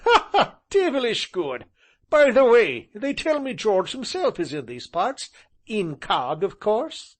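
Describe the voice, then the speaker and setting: A man voicing a tall, jovial gentleman who gushes and laughs at his own joke in a high voice. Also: very animated, a little slow, heavily acted. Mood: gleeful. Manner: mocking, laughing, gushing.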